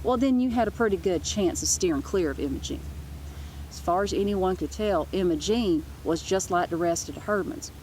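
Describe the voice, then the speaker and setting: A woman with a slightly low voice narrates a children's book aloud. Her storytelling is informal, and there is faint noise in the background. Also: moderate pace, a little mellow, poor audio. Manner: informal, with asides.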